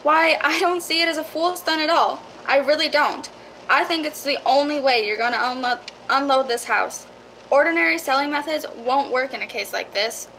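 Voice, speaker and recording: A woman's voice: high-pitched, moderate pace, pushed and bright, a little hiss or hum, poor audio. A woman playing a chatty, folksy property seller in a radio drama, eager and persuasive. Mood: eager, reassuring. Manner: eager, reassuring, folksy salesmanship.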